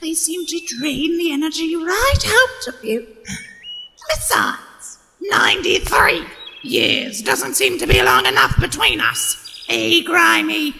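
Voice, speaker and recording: A woman playing a haughty, sharp-tongued old desert witch in an audio drama, with a cracked elderly voice and theatrical scolding delivery. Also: extremely high pitch, wildly swooping pitch, slow pace, full character voice. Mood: weary. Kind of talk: fan audio drama acting. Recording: long hall reverb, clear background noise.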